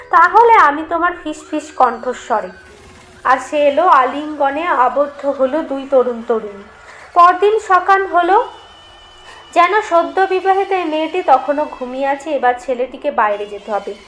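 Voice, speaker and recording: A woman narrates a mysterious tale in a notably high voice. Her delivery is scripted and dramatic, with measured, expressive phrasing suited to an audio storybook. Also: poor audio.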